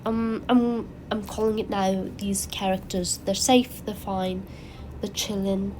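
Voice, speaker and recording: A woman with a cold, her voice raspy and congested, chatting casually and rambling animatedly as the host of her own channel. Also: medium pitch, a little slow, a little hiss or hum, rough recording. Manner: rambling, animated, frequent fillers and restarts.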